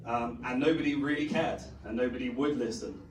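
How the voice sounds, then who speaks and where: A hoarse, middle-aged man speaks from a conference stage, pausing on fillers as he talks. He sits at an ordinary pitch, and his voice carries across a roomy hall, picked up from some distance with a faint hiss behind it. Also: fairly even intonation, a little mellow. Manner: rambling with many fillers. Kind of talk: conference talk. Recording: echoey hall, distant mic, faint noise.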